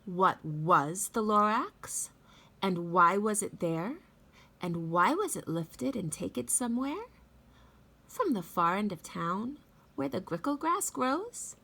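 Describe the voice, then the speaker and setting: Woman, middle-aged, expressive children's teacher, unhurried delivery, gravelly fry. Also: medium pitch. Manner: slow, expressive, addressing young children. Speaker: teacher reading aloud to children. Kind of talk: children's read-aloud with chatty introduction. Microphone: phone at arm's length.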